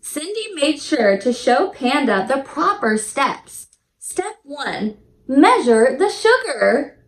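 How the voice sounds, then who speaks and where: High, animated woman reading to children. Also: slow, echoey room. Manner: sing-song, exaggerated enthusiasm, addressing children directly. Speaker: children's teacher and show host. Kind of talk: read-aloud storytime for young children.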